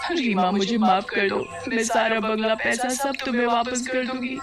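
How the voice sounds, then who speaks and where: A woman's voice: a little high, level tone, normal speed, voice pushed up, lightly acted. A woman voicing a greedy, hot-tempered wife in a melodramatic cartoon story, whiny and dramatic. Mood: pleading. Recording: loud music behind the voice.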